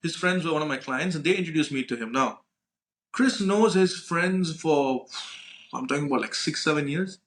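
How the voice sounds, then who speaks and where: A man's voice: fairly high pitch, normal speed, a bit bright. A young man telling casual anecdotes as a podcast co-host, slangy and sweary, acting out conversations and often checking in with "right?". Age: young adult. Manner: informal, slangy, acts out dialogue, frequent "right?" tags. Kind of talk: casual anecdotal storytelling with profanity.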